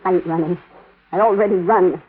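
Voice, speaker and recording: A woman's voice: on the low side, steady tone, a little fast, breathy edge. A woman playing a tough, proud sixteen-year-old runaway farm girl in an old radio western, with a sassy rural Western American drawl. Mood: bitter. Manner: sassy, folksy, ungrammatical drawl. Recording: muffled, narrow-band old radio recording.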